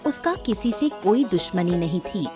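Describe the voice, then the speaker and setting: A woman's voice: mid-range voice, animated, normal speed. A woman narrating a scripted moral story as a storyteller. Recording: music behind the voice.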